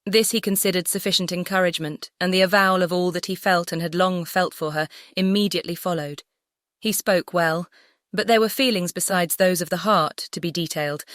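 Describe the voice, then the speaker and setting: A woman's voice: fairly low pitch, no echo, studio quality. A woman narrating a classic novel as an audiobook, composed and carefully phrased. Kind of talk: scripted literary narration.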